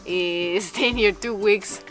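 A woman's voice: medium pitch, slow, fairly bright tone, soft background music. A woman in her thirties, a native Italian speaker, talking upbeat and enthusiastic to camera in an outdoor olive grove. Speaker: vlogger, homesteader. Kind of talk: casual vlog talk to camera.

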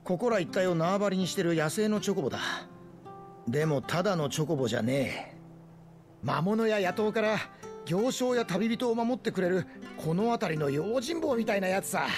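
A man playing a friendly, grateful traveling merchant in a video game, with folksy, rough speech. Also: sits high, animated, normal speed, a little mellow, faint music behind. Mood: pleading. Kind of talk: dramatic video game voice acting.

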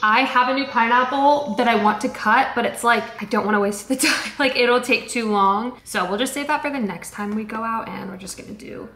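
A woman's voice: fairly high pitch, a little fast, hardly any pauses, a bit bright. A young woman vlogging at home in a casual, upbeat, gushing way, with lots of "like". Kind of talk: casual vlog narration. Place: home and patio, indoors and out. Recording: echoey with clear background noise.

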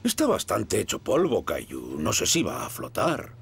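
A man's voice: low-pitched, wildly swooping pitch, moderate pace, creaky, a bit bright, slight background noise, full character voice. A man playing a kindly, encouraging old grandfather in a Castilian Spanish children's cartoon, hearty and patient. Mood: gently reassuring.

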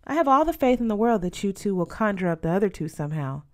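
A woman voicing a proud, loving, middle-aged father in a storybook, gentle, admiring and encouraging. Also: mid-range voice, big pitch swings, fast talker, close to an everyday voice.

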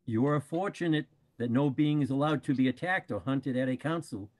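A man acting a wise, patient panda bear in a play reading, measured and instructive. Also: medium pitch, close to an everyday voice. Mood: instructing.

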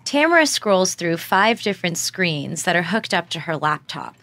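A woman's voice: on the low side, very animated, normal speed, a bit bright. An actress playing a candid, self-aware female podcast reporter narrating a scripted audio drama. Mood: candid, wry. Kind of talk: scripted first-person documentary narration.

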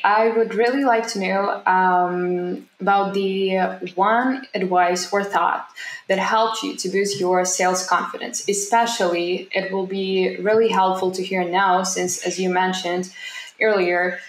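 A young woman in her twenties hosting a podcast, asking measured interview questions over a video call. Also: mid-range voice, steady tone, slight background noise. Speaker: podcast host. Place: room indoors. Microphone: laptop camera on a video call. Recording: echoey room.

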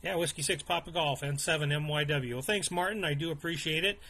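Male ham radio operator, a little higher in pitch, casual and courteous on-air chat. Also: fairly quick, sharp tone, a little hiss or hum. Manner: polite, appreciative.

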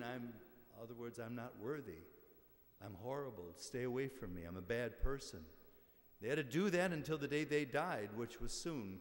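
Older American priest in a reverberant church. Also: male voice, mid-range voice. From western New York, United States. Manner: conversational, halting, with asides. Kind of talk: informal homily. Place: large church interior, at the ambo. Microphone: gooseneck lectern microphone. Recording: reverberant church hall sound.

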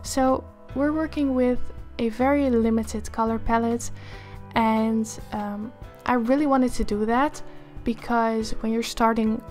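A young woman with a Dutch accent, hoarse from a cold, chatting upbeat over a drawing tutorial video. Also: on the high side, steady tone, a little slow, darkish tone, soft background music. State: hoarse, losing her voice from a cold. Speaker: art tutorial creator. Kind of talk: casual voice-over for a drawing time lapse.

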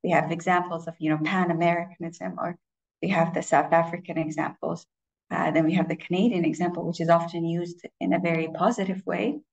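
Woman in her 30s, English speaker, low gravelly voice, reflective presentation delivery. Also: rough recording. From Estonia. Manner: reflective, with frequent filler pauses and restarts. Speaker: conference speaker. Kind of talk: personal presentation talk.

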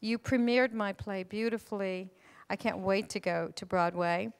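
A woman's voice: medium pitch, moderate pace, vocal fry, poor audio. A middle-aged woman giving effusive, emphatic thank-you remarks on stage into a handheld microphone. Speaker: playwright and event host. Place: stage in an auditorium before an audience. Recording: live stage microphone with audience noise.

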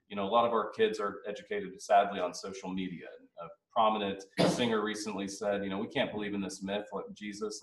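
A man, hosting a live radio panel show and addressing listeners. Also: on the low side. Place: classroom at a seminary, small audience. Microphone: desk microphone on boom arm. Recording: roomy echo, somewhat distant.

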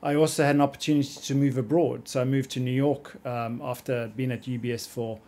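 A middle-aged man from South Africa with a South African accent, a guest coach telling his career story in a measured, reflective way in a small podcast studio on a studio mic. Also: mid-range voice, little pitch movement, warm tone. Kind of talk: casual podcast conversation. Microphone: studio mic on boom arm.

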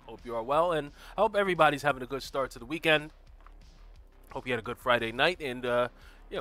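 A man hosting a gaming livestream in casual commentary, greeting viewers by name and walking through his build, a little stuffy from a cold and clearing his throat now and then. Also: mid-range voice, a bit bright, no echo. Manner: walks through game build details. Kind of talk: casual live gameplay commentary.

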